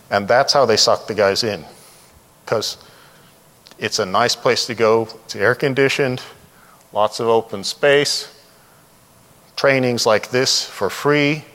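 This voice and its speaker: A man's voice: mid-range voice, fairly bright tone. A man speaks as a presenter at a conference, his voice ringing through a reverberant hall. He is informal and candid, talking conversationally and freely offering opinions. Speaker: conference speaker, developer trainer and consultant. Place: large indoor presentation room.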